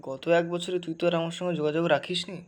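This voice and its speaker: A man's voice: on the high side, level tone, fast talker, lightly acted. A man playing an ailing young man in an audio drama, an old friend speaking intimately and plaintively in a cracked voice. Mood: soft, wistful. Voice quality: broken, cracked voice.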